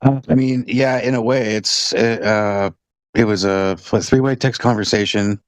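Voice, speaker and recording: A man's voice: on the low side, steady tone, moderate pace, no room sound. A man in casual conversation who talks hesitantly with many fillers and swears bluntly.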